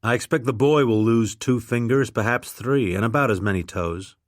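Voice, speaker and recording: A man doing a measured, sober captain. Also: medium pitch, no room sound, studio quality, lightly acted. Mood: grave. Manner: measured, matter-of-fact. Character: calm, practical ship's captain tending wounds.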